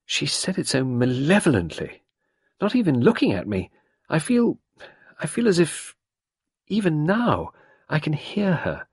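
A man's voice: on the high side, wildly swooping pitch, measured pace, pauses often, a little mellow, breathy edge, no room sound, clean studio sound, pitched above the performer's own voice, full character voice. Sarah, a frightened young woman, as a man puts her on.

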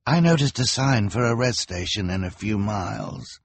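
A man's voice: fairly low pitch, animated, moderate pace, no echo. A man voicing a timid female villain's assistant in a storybook, with a whiny, pleading manner.

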